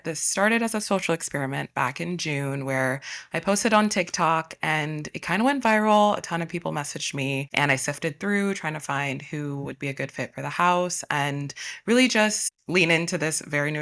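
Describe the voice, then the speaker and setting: Female podcast host, low register, candid casual storyteller with emphatic phrasing. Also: a bit bright.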